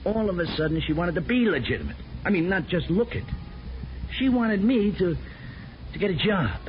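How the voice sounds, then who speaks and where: An American actor playing an earnest, slangy small-time crook and henpecked husband in a 1950s radio crime comedy. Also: male voice, high voice, big pitch swings, normal speed. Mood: wry, explaining. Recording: muffled, noisy 1950s radio recording.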